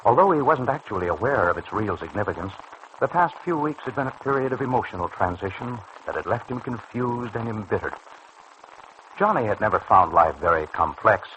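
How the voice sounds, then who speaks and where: Crisp, forceful male radio serial narrator. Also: lowish voice, lively intonation, a little fast. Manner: formal, dramatic storytelling. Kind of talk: mid-century radio serial scripted narration. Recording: faintly noisy old radio recording.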